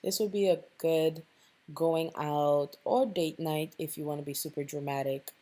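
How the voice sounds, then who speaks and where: A woman with a raspy voice narrating a casual step-by-step makeup tutorial, chatting straight to her viewers and checking in with them often. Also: low voice, steady tone, a little slow, mellow. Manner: chatty, addresses viewers directly, tags lines with "okay?".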